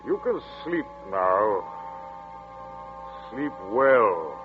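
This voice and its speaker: Brief: a murmuring hypnotist with a candle, drawn-out lilting delivery, tinny vintage broadcast sound. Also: male voice, on the low side, very animated, full character voice. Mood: hypnotic. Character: soothing hypnotic man with a candle. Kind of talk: 1940s radio acting. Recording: tinny old radio broadcast with music.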